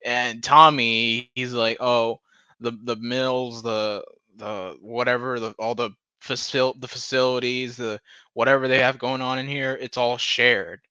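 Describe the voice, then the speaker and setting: Bright, forceful man ranting casually. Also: medium pitch, flat delivery, a little slow, clean tone, no room sound, dull audio. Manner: sweary, laughs through his words; mockingly acts out quoted dialogue. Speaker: video commentator. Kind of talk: casual ranting TV episode recap.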